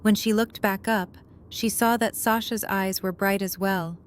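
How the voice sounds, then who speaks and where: A woman's voice: mid-range voice, steady tone, measured pace, slight background noise. A woman reading scripted third-person narration for an audio drama.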